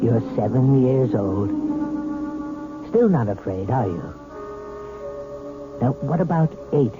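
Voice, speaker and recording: A coaxing psychiatrist. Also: male voice, mid-range voice, expressive, slow, music in the background. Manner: soothing, coaxing, patient. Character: calm, probing male psychiatrist. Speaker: psychiatrist hypnotizing a patient. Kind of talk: 1970s radio drama acting. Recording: tinny old radio broadcast.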